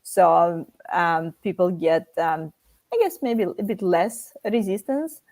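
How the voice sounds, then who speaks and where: A woman's voice: on the low side, takes it slowly, darkish tone. A woman hosting a live interview over a video call, sharing her thoughts in a reflective, hedging way. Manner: reflective, hedges with "I guess". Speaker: host of live interview show. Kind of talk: conversational interview.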